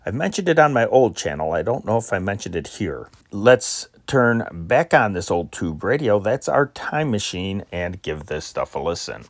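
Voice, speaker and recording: A man's voice: fairly low pitch, sing-song, moderate pace, hardly any pauses, no echo. A man hosting an old-time radio channel, introducing programs in a casual, chatty, rambling way.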